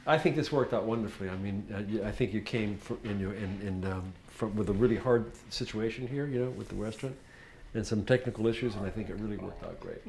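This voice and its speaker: A man's voice: on the low side, quick pace, gravelly, a little mellow, roomy sound. A man presenting at an event, chatting casually in an upbeat, praising way. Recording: crowd chatter behind.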